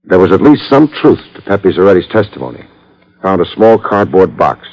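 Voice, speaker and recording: An actor playing a wry, skeptical private detective in a 1950s radio drama, with a dry, laconic, wisecracking delivery. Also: male voice, sits low, sing-song, fairly quick, vocal fry, heavily acted. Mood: dry narration. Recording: dull old radio recording.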